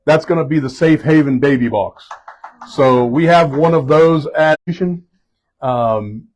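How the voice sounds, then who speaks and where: A fire chief leading a group around a building indoors, speaking loudly in a casual, halting way with many fillers and restarts. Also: male voice, a little high, poor audio. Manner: loud voice. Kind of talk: casual spoken tour guiding.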